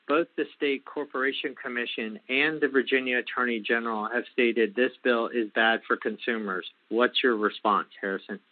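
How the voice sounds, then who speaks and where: A man moderating a telephone conference call, relaying audience questions in a deliberate, halting delivery. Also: medium pitch, no echo. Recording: tinny, narrowband phone line.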